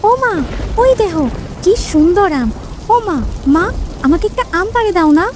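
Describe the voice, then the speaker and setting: A woman's voice: very high voice, expressive, moderate pace, slight background noise. A woman voicing a cheeky, greedy little village girl in a folk-tale cartoon, childlike in manner. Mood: pleading.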